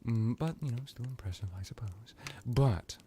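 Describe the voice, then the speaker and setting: A man playing a smug, powerful vampire lord in an ASMR roleplay, talking in a halting, boastful, condescending and mock-polite way. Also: medium pitch, animated, dark tone, slight background noise, natural voice.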